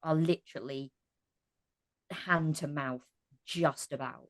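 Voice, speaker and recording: Low-voiced woman speaking earnestly. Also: slow pace. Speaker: podcast guest, cast member of an audio drama. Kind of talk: impassioned casual discussion.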